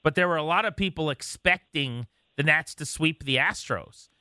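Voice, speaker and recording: American male sports talk host, bright and forward, slightly higher voice, dry studio sound. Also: animated, moderate pace, smooth, dry recording. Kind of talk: casual sports chat.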